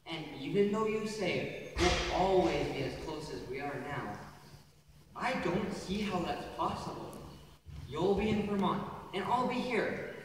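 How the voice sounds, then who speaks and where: A young man acting a wistful, earnest younger brother in a school stage play, saying goodbye to his sister. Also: high-pitched. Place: school theatre, stage performance. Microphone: distant audience camera. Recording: echoey hall from far off, faint noise.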